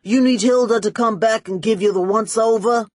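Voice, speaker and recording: A woman's voice: a little high, normal speed, no echo, studio quality, voice pushed up, close to an everyday voice. A woman voicing a vain, cigar-chomping male gangster boss in an audiobook, with brash, clipped tough-guy slang. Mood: brash, bossy.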